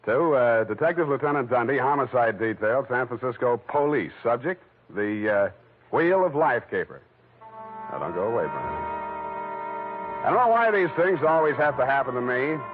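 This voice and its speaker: An actor playing a wisecracking, hard-boiled private detective in a 1940s radio drama, with a wry, offhand delivery. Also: male voice, medium pitch, big pitch swings, moderate pace, soft background music. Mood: weary dictation. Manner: wry, offhand, hesitant asides. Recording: muffled, narrow-band 1940s radio broadcast.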